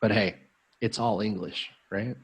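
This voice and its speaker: A man's voice: on the low side, steady tone, unhurried, slightly rough. An American man hosting a live stream as an English tutor, chatting in a friendly, upbeat way with frequent ums. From United States. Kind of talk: casual live-stream chat.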